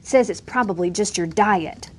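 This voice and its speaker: A woman's voice: medium pitch, normal speed, slight background noise, lightly acted. An eager young knight in a storybook, kind and earnest, always encouraging his friend, voiced by a woman reading aloud. He sounds hopeful and good-hearted.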